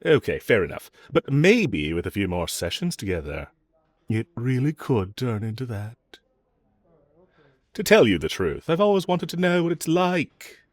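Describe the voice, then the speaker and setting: A man playing a smug, flirtatious villain in an audio roleplay, teasing and coaxing the listener with self-assured, drawn-out phrasing. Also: medium pitch, sing-song, no echo. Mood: persuasive, flirty.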